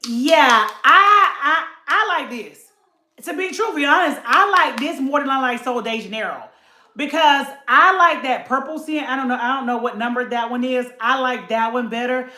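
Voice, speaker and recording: A woman's voice: high voice, moderate pace, sharp tone, roomy sound. A woman, chatting casually to camera about a beauty haul and addressing viewers as y'all. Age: late 30s.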